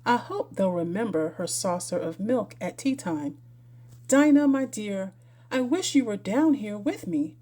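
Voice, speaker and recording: A woman's voice: mid-range voice, lively intonation, measured pace, dark tone. A woman voicing a curious, chatty young girl who muses aloud to herself in a storybook reading. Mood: wistful musing. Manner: musing aloud, self-questioning.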